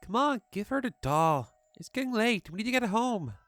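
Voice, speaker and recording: A woman's voice: mid-range voice, sing-song, moderate pace, no echo, soft background music, natural voice. A woman playing a bossy older village girl who talks sternly and gives orders in a horror story. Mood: scolding. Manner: stern, commanding.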